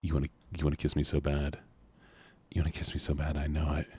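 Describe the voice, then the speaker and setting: A young man with a deep voice chatting casually with his stream audience, goofy and playful and often laughing. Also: monotone, fast, a little mellow, breathy edge, no room sound. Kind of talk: casual livestream chat.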